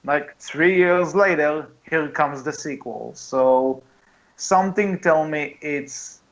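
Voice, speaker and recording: A man's voice: a little high, slow, a bit bright. A man hosting a casual film discussion podcast, talking in a hesitant, conversational way as he thinks aloud. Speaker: podcast host. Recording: dull lo-fi voice recording.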